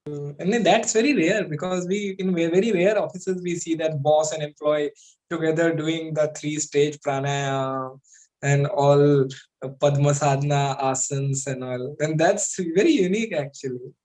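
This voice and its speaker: A man's voice: a little high, normal speed, darkish tone, rough recording. A man hosting an interview, asking loosely phrased, informal questions. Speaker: host. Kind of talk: casual interview chat.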